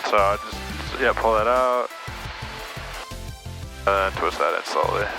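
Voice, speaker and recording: A man coaching a pilot calmly from the right seat of a small plane, giving short directions over a headset. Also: fairly low pitch, slow, vocal fry. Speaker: flight instructor. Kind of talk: casual in-flight instruction. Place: small plane cockpit in flight. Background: music.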